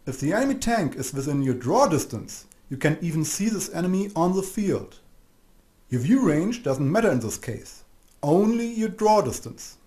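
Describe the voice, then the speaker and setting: A man's voice: high voice, sing-song, warm tone. Man narrating a tutorial, roomy echo. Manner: measured, didactic, addresses the listener directly. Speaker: narrator of an instructional game video. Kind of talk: scripted explanatory narration. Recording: roomy, echoing voice-over.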